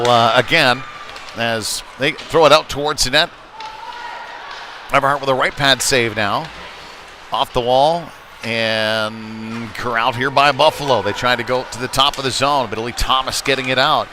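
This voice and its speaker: Male play-by-play commentator for youth hockey, mid-pitched voice, live from a clearly noisy indoor rink. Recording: noisy rink ambience, bouncing knocks.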